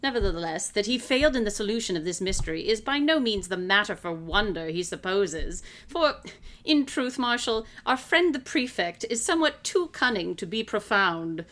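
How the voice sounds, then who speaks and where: Actress doing clever lofty lady detective, radio play, dry irony, measured delivery, mid-pitched. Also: expressive, fairly bright tone, lightly acted. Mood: lofty satisfaction. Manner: deliberate, ironic, lofty. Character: brilliant, haughty female detective, drily amused. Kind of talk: live radio drama acting.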